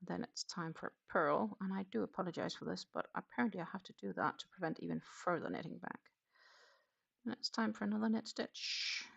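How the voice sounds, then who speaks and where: A woman hosting a casual craft livestream, chatting haltingly and muttering to herself in a self-deprecating way. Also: on the low side, vocal fry, husky, muffled recording. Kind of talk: casual livestream chat while knitting.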